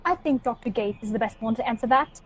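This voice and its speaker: A woman's voice: fairly high pitch, fast talker, close to an everyday voice. A woman playing an admiring, authoritative space officer in a radio play. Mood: courteous.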